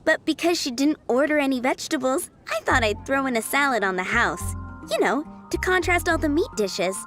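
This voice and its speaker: A woman's voice: high-pitched, expressive, a little fast, faint music behind. A woman voicing a friendly, helpful young tavern waitress taking orders, in video game dialogue. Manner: warm, attentive service talk.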